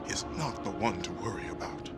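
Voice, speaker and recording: An actor playing a stern, defiant warrior in a video game, terse, blunt and brooding. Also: male voice, on the low side, lively intonation, fairly quick, lightly acted. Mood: grim, skeptical. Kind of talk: dramatic video game acting. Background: loud music.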